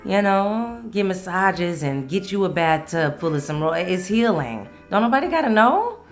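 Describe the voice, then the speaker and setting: A woman's voice: lowish voice, very animated, normal speed, soft background music. A woman hosting a solo podcast in a casual, candid, playful way, swearing freely. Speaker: podcast host.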